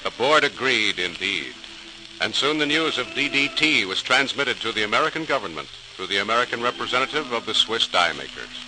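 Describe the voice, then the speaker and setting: A man's voice: mid-range voice, expressive, brisk. A man narrating a scripted 1940s radio programme as announcer, in a formal, dramatic, declamatory style. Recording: noisy old radio transcription recording.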